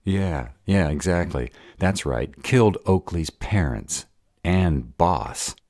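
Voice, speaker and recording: A man plays a short-tempered were-dragon with an extremely deep, creaky growl of a voice. He is gruff, sarcastic and protective, grumbling with wide swings in pitch, in an audio roleplay. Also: animated, slow pace, a little mellow, no room sound, studio quality, exaggerated voice. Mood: exasperated, angry. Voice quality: deep, gravelly.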